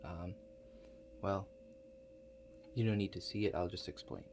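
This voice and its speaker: A man playing an awkward, hesitant operator of a strange future-viewing machine in a soft-spoken ASMR roleplay, halting and understated. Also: deep voice, slight background noise. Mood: matter-of-fact, hesitant. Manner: halting, understated, mumbled asides with many pauses.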